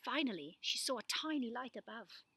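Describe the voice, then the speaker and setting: A woman giving an expressive, animated reading of a children's story. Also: fairly high pitch, normal speed, slight background noise. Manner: animated delivery with acted-out dialogue. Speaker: storybook reader.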